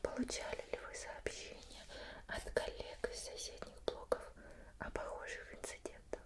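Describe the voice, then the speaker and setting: A woman playing a patient but suspicious investigator in an ASMR roleplay, whispering slow, probing questions with pauses. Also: lightly acted. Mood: calm probing.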